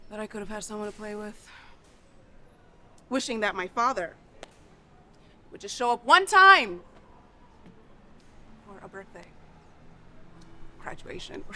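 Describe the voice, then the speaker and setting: A woman performing an emotional stage monologue as a bitter, overwhelmed adult child confronting an absent father's deathbed, sarcastic and halting. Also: medium pitch, sharp tone, slight background noise. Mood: wounded, wistful. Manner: sarcastic, wounded, halting between outbursts.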